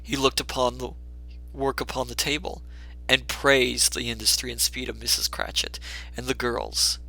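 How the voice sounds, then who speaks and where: A man narrating a classic story as a dramatic reader, measured and expressive. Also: mid-range voice, creaky, low-quality recording.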